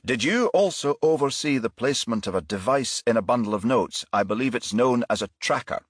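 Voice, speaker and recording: A man voicing a formal, curt and demanding titled gentleman in a crime audiobook, with pointed questioning. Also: mid-range voice, animated, a little fast, no room sound, studio quality, pitched above the performer's own voice, close to an everyday voice.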